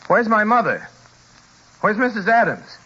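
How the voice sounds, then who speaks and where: A man playing a frightened, haunted young traveler in a 1940s radio drama, speaking with halting, dramatic intensity. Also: high voice, sing-song, pushed and bright, slightly rough, full character voice. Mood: panicked. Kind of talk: 1940s radio drama acting, first-person narration. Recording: muffled, noisy old radio recording.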